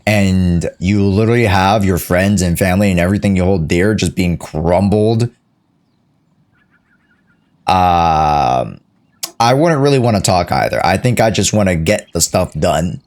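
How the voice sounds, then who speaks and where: A man's voice: low voice, animated, unhurried, darkish tone. A man giving casual live reactions as a game streamer, sometimes reading along and repeating himself. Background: game music.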